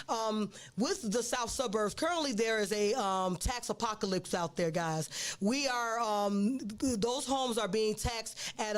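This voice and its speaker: A woman hosting a casual interview, rambling and restarting her words with many fillers. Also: mid-range voice. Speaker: host.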